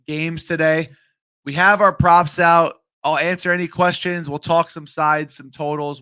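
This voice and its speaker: A man in his late twenties hosting a sports betting stream, talking casually with many ums, his voice a bit hoarse. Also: fairly high pitch, pushed and bright, no room sound. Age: late 20s. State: slightly hoarse voice. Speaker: sports betting show host. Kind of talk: live-streamed betting picks talk.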